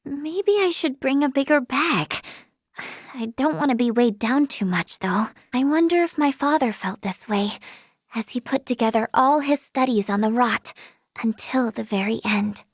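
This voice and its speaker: A woman voicing an earnest, kind-hearted young female healer in a video game, with a polite, softly hesitant manner. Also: on the high side, normal speed, dry recording, clean studio sound. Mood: thoughtful. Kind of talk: scripted game character dialogue.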